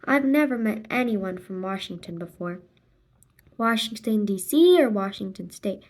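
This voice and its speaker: A woman reading a children's graphic novel aloud expressively, acting out the dialogue and sound words in a deliberate way. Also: medium pitch, expressive. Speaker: read-aloud narrator.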